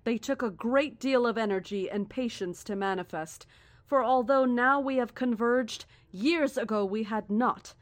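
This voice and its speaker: A woman playing a serene but menacing female cult leader in a horror audio drama, speaking in a deliberate, formal, condescending and grandiose way. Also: on the high side, no echo, close to an everyday voice. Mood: reverent. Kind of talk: horror audio drama acting, live radio address.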